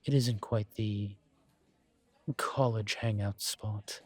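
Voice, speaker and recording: A man voicing a suave, teasing incubus professor in an intimate audio roleplay, courteous and measured, with deliberate pauses. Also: fairly low pitch, steady tone, breathy edge, dry recording. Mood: teasing, inviting. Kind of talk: intimate one-sided audio roleplay acting.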